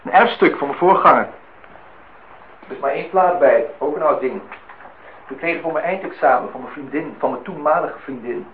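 A man's voice: on the high side, moderate pace, narrow, tinny audio, close to an everyday voice. An actor playing a guarded, prickly, self-deprecating man in a naturalistic radio drama, on a noisy old recording. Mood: wry, casual. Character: reserved, prickly, self-deprecating male amateur painter.

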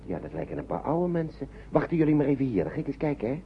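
A man's voice: a little high, big pitch swings, rapid-fire, highs cut off. An actor in a radio play voicing a kindly, anxious man shepherding children, fussy and reassuring, often repeating himself. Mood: hesitant, curious. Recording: noisy old radio play recording.

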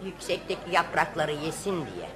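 A woman's voice: deep voice, sing-song, moderate pace, over a noisy crowd, heavy background noise. A woman playing an impatient elderly grandmother in a radio play.

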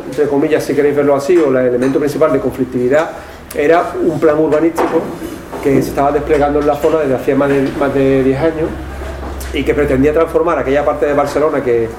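A man's voice: medium pitch, a little mellow. A man from Seville with an Andalusian accent, an anthropologist presenting his book, rambling with fillers and tag questions. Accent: Andalusian Spanish with some Catalan tinge. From Seville, Spain. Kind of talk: public talk presentation. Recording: echoey room, faint noise.

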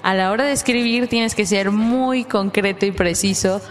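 A woman co-hosting a casual podcast chat, chatty and quick to finish her co-host's sentences. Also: medium pitch, big-room sound. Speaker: podcast co-host.

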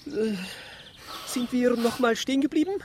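A man voicing a flustered, indignant priest in a radio play, with a broken, husky voice. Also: very high-pitched, moderate pace, darkish tone, slight background noise, voice pushed up. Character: flustered priest, shocked and indignant, losing his thread.

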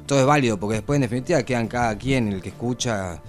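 A man's voice: medium pitch, fast, soft background music. A man with an Argentine accent chats casually, feeling his way tentatively through his thoughts. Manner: hesitant, tentative phrasing. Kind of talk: casual conversation.

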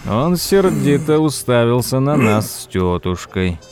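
An actor playing a thoughtful nephew who narrates an audio drama in an expressive, measured way. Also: male voice, mid-range voice. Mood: intrigued narration. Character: thoughtful nephew recounting his uncle's strange tale. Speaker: narrator and character. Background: music.

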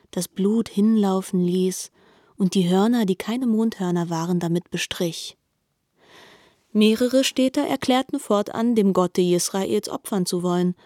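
A woman reading scripted literary narration for radio in a measured, formal way. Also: lowish voice, warm tone, dry recording, studio quality.

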